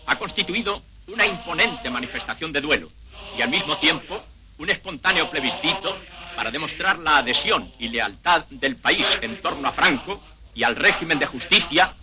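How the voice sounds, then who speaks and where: A male announcer reads an old newsreel with grave, official solemnity. His voice sits a little high for a man. A clear hiss runs under the worn archival soundtrack. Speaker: newsreel narrator. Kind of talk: formal archival newsreel narration.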